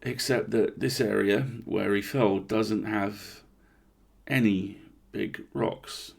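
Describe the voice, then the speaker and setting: A man's voice: lowish voice, steady tone, slow, vocal fry, breathy edge, exaggerated voice. A man voicing a blunt, rattled park ranger in a horror story, confiding and swearing in an incredulous, conversational way. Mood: baffled, blunt.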